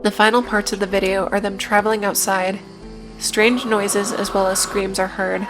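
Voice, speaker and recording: A woman's voice: mid-range voice, little pitch movement, moderate pace, rough recording. Woman narrating evenly over music. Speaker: video essay narrator. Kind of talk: scripted narration with casual asides.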